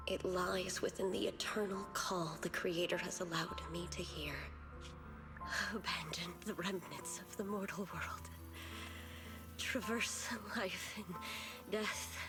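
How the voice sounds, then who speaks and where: A woman's voice: mid-range voice, lightly acted. A woman playing a fanatical villain cultist who speaks solemn, reverent monologues to her Creator in a video game cutscene. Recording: game audio with music underneath.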